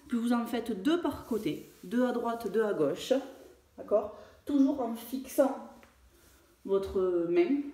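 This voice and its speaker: A French fitness coach talking through a home workout, with many hesitations and fillers. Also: female voice, mid-range voice, warm tone. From France. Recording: echoey room, voice far from mic.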